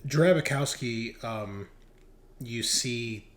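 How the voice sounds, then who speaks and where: A man's voice: medium pitch, drawn-out words. A man improvising narration as a game master, hesitant and searching for his words.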